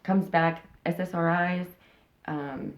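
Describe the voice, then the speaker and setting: Low gravelly woman, frank and rambling. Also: fairly even intonation, slow pace, slight background noise. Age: 30s. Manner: rambling, frank, with many fillers and restarts. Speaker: interviewee, channel host. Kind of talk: casual personal interview.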